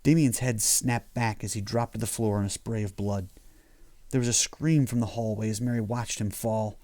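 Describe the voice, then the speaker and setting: A man's voice: fairly low pitch, fairly even intonation, moderate pace, darkish tone. A man reading his own horror short story as scripted audiobook narration.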